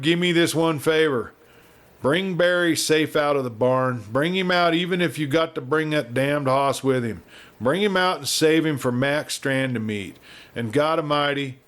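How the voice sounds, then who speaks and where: Man putting on a high, frantic gaunt cowboy. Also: measured pace, fairly bright tone. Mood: fervent pleading. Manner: rustic Western dialect, wild and fervent. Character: gaunt, excitable cowboy henchman, frantic and desperate.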